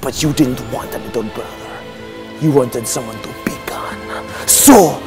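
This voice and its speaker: An actor playing a grandiose comic villain professor with a wounded streak and a put-on European accent, in a theatrical skit. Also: male voice, sits high, big pitch swings, a little slow, creaky, over music, full character voice. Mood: bitter, wounded. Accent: put-on foreign European accent. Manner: grandiose, theatrical, swinging from shouts to hushed asides. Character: melodramatic male comic villain professor, wounded younger brother. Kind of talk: comic villain monologue in a children's church skit.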